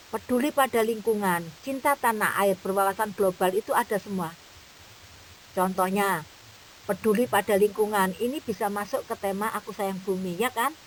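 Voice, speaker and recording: A woman's voice: mid-range voice, a bit bright, poor audio. A woman who teaches kindergarten, patiently explaining a curriculum to fellow teachers. She repeats her points and keeps checking that they follow. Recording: faint hiss.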